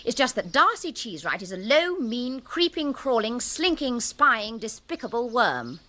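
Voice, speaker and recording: An imperious young Englishwoman in a radio comedy. Her upper-class voice is fairly high and bright, with animated swoops of haughty scorn and crisp emphasis. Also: normal speed. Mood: scornful, indignant. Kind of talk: radio comedy drama acting.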